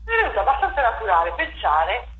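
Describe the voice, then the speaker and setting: A woman's voice: on the high side, wildly swooping pitch, fast, narrow, tinny audio. Reflective woman in an echoey room. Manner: reflective, many fillers and restarts. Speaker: playwright and theatre director. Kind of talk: interview, explaining her stage work. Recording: echoey room with faint noise.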